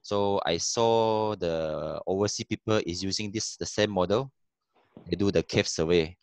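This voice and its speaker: A man giving a casual online talk about cave surveying, pausing often to search for words over a video call. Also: low-pitched, no room sound. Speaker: cave explorer presenting.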